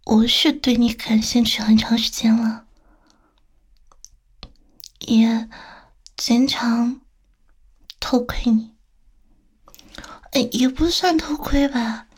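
A woman playing a teasing, coaxing female boss flirting with a younger employee in an intimate, whispered audio roleplay. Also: a little high. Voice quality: breathy, whispery. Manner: slow, coaxing, tender, teasing.

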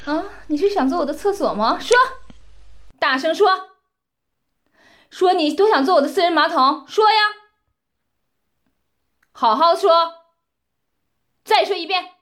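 A woman playing a domineering, scornful mistress who gives commands and taunts, sometimes dropping to breathy whispers. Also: sits high, sing-song, fast, few pauses. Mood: commanding. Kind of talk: roleplay audio drama.

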